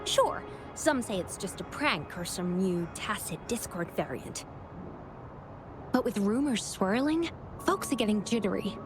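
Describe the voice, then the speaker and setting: An actress playing a folksy, brisk woman who oversees a mine, chatty and animated. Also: medium pitch, lightly acted. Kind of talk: game cutscene dialogue. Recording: game music underneath. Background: music.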